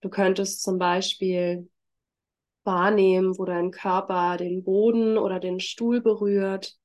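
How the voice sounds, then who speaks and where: A woman with a congested voice from a heavy cold, speaking gently and informally as a yoga teacher leading a workshop. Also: mid-range voice, little pitch movement, takes it slowly. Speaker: trauma-sensitive yoga teacher and facilitator. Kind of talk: workshop introduction and guided mindfulness.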